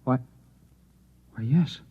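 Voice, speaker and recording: An actor playing an earnest Martian living in a husband's body, solemn and insistent, in an old-time radio drama. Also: male voice, takes it slowly, dark tone, natural voice. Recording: muffled, narrow-band old radio recording.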